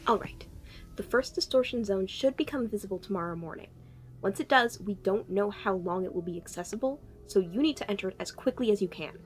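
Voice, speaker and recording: A woman playing an exasperated, technically minded time-travel engineer in an audio drama, explaining briskly. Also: medium pitch, soft background music, natural voice. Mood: grave, urgent. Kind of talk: cinematic audio roleplay acting.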